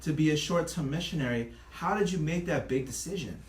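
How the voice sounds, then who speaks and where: A man hosting a comedic talk show, playfully teasing his guest and shouting the show intros. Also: on the high side, fairly quick, reverberant, a little hiss or hum.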